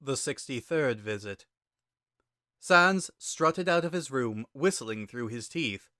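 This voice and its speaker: A man giving a measured, dramatic narration of a scripted story. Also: mid-range voice, animated, sharp tone, no room sound, studio quality.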